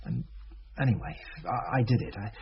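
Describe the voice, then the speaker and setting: An actor with a British accent playing an anxious, reluctant teenage schoolboy in a radio drama, talking haltingly. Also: male voice, fairly low pitch, big pitch swings, creaky. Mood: nervous, defensive. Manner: halting, nervous. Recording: muffled, noisy old radio recording.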